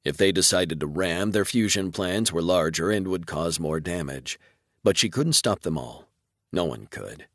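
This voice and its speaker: A man's voice: sits low, animated, measured pace, no echo, clean studio sound. A man reading scripted narration for a military science fiction audiobook.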